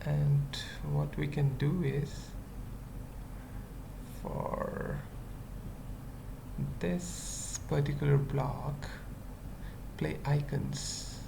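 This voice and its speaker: A tutorial presenter thinking aloud in halting, murmured fragments during an informal coding screencast. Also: mid-range voice, fairly even intonation, vocal fry, noisy background. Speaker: web development tutorial presenter.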